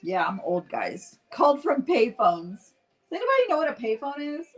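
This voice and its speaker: A middle-aged woman chatting casually to livestream viewers as she tells a personal story, acting out bits of dialogue in an animated, informal way. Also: high voice, normal speed, soft background music, poor audio. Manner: chatty, animated retelling with acted-out dialogue and frequent "like"; addresses viewers directly. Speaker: host painting live while telling stories.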